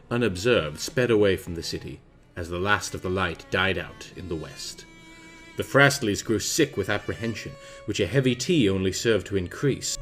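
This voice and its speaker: Low-voiced man reading gothic verse over music. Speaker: narrator. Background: orchestral and jazz film soundtrack music.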